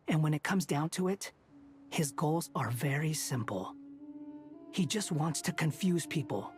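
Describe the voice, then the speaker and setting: A man's voice: fairly high pitch, lightly acted. Man as shrewd, self-assured young strategist. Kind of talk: video game voice acting.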